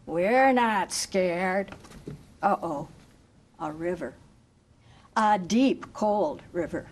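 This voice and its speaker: A woman reading a picture book aloud to children in an expressive, chanting, sing-song way, engaging them with questions like a teacher. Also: medium pitch. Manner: asks listeners questions like a teacher. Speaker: volunteer story reader for children.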